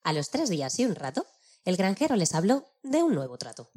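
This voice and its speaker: A woman with a husky voice narrating a rhyming children's tale in a playful, declamatory, theatrical way. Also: on the low side, very animated, fairly quick, dark tone. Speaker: storyteller.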